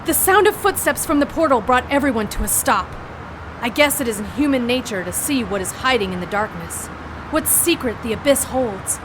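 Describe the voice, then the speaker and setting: An actress playing a haunted heroine, narrating an audio drama gravely and dramatically in the first person. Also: female voice, a little high, animated, moderate pace, natural voice. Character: haunted woman narrator fighting mind control. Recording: noisy crowd and outdoor din behind.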